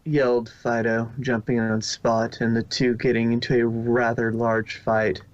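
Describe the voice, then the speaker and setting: A man's voice: mid-range voice, level tone, a little slow, dry recording. A man narrating a story from a script, now and then stumbling over a word and repeating it. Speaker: fan fiction reader and narrator. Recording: dull lo-fi home recording.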